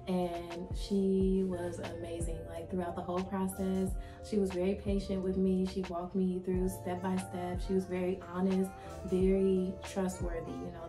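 A young woman talks straight to the camera in an easy, chatty vlog, repeating herself and filling gaps with likes and ums. Her mid-range voice is a little raspy from a cold she is getting over. The room has a noticeable echo, and music plays clearly behind her. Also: mid-range voice. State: slightly raspy from a recent cold. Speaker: vlogger. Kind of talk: casual talk to camera. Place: indoor room, plain wall and plant. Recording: echoey room with background music.

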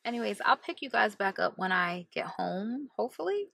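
Bright, gravelly woman vlogging from a car. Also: medium pitch, sing-song, moderate pace, dry recording. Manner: chatty, corrects herself mid-sentence. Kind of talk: casual vlog chat to camera. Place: inside a car, passenger seat. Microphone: camera held at arm's length.

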